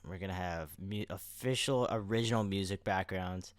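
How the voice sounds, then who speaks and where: Young male podcast co-host, low clean voice, dry sound, chatty with announcer touches. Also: normal speed, clear, resonant tone, dry recording. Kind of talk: casual podcast chat.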